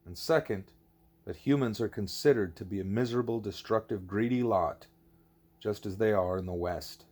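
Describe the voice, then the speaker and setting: Man voicing a deliberate, low-voiced wise teacher. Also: a little mellow, close to an everyday voice. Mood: patient, instructive. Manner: deliberate, explanatory, formal phrasing. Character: wise, patient teacher creature Ishmael, male, measured and didactic.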